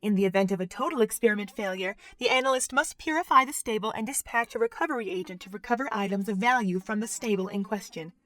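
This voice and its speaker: A woman's voice: mid-range voice, fast, few pauses, a bit bright, no room sound, natural voice. Woman acting a cold, officious facility overseer. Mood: stern, detached. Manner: formal, clipped, unyielding. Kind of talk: scripted audio drama acting.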